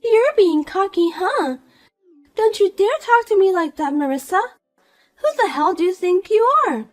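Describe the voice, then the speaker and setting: A woman's voice: very high-pitched, expressive, a little slow, voice pushed up. A woman voicing a vain, spiteful young woman who mocks and pushes others around. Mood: indignant. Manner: mocking, pushy, self-important.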